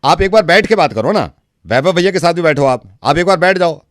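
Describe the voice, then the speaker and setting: A man's voice: on the high side, expressive, fairly quick, no room sound, clean studio sound. A man working as a radio prank caller, talking in an insistent, chatty way and cutting in on the other person. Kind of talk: prank phone call, improvised chat.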